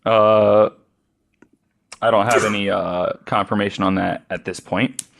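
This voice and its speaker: A man's voice: lowish voice, fairly even intonation, measured pace, gravelly, fairly bright tone. A man hosting a podcast, reading out a true-crime account with joking asides. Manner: joking, laughs often. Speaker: podcast host.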